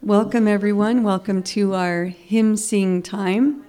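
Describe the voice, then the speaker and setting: A woman's voice: mid-range voice, fairly even intonation, takes it slowly, warm tone. A woman leading a congregational hymn sing in church, her voice a little scratchy, talking in a friendly, chatty way with hesitant restarts. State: slightly scratchy voice. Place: church sanctuary.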